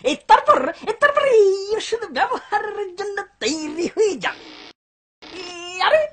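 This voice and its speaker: An actor playing an excitable, muttering herbal healer in a dubbed cartoon. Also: very high-pitched, measured pace, a little hiss or hum, heavily acted. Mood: busy, flustered.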